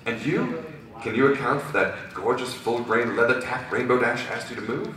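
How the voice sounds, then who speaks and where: A man's voice: mid-range voice, moderate pace, heavy reverb, distant mic, a crowd in the background, voice pushed up, natural voice. A man voicing a prim, anxious female pony lawyer with refined, formal and indignant diction at a script reading. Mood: probing.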